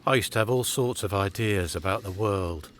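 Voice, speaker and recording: A man's voice: on the low side, moderate pace, a bit bright, slight background noise, lightly acted. An actor playing a grumbling, cynical male council office worker in a naturalistic audio drama, with a blunt manner.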